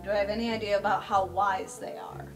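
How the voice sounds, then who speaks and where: A woman's voice: mid-range voice, level tone, fast talker. A woman playing a tabletop role-playing game, chatting casually and bluntly with the table. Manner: blunt, swearing freely. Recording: music under the talk.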